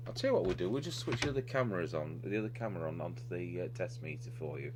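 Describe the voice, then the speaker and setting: Low-pitched man demonstrating over noisy hum. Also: animated, rapid-fire. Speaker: radio repair demonstrator. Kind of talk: hands-on technical demonstration, unscripted. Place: indoor radio repair workbench. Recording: clearly noisy recording with electrical hum.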